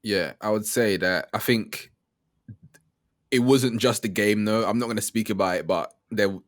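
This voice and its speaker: A man's voice: on the low side, moderate pace, no echo, studio quality. A man co-hosting a game podcast, talking casually and hesitantly, often trailing off. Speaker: podcast co-host. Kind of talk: casual chat.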